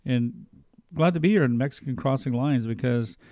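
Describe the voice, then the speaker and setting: A man from Turtle Mountain, North Dakota, talking as a guest on a radio show in a studio, halting and repeating his words. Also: mid-range voice, mellow, no room sound. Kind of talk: casual radio interview talk.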